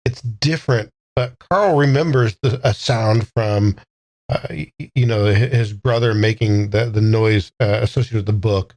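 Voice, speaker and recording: Man with halting, careful game-table talk. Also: fairly low pitch, fairly even intonation, darkish tone, rough recording. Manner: halting, careful word choice. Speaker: player in a tabletop game podcast.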